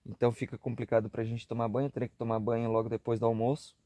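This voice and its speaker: A man in his thirties with a southern Brazilian accent chats easily to a handheld camera, tagging his sentences with little questions. His voice is mellow and dark in tone, mid-pitched, and sounds close and dry with no room around it. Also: flat delivery, quick pace, no echo. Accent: southern Brazilian, Rio Grande do Sul. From Rio Grande do Sul, southern Brazil. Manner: chatty and easygoing, with frequent tag questions. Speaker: travel vlogger. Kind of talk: casual vlog narration. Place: outdoor campsite on a grassy hilltop, earlier inside a car at night.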